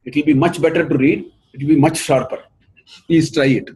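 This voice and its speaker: A man's voice: a little high, steady tone, brisk, a little mellow, slightly rough. A middle-aged man with an Indian accent giving an earnest lecture, repeating his points for emphasis. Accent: Indian English. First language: not English. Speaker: professor giving a research talk.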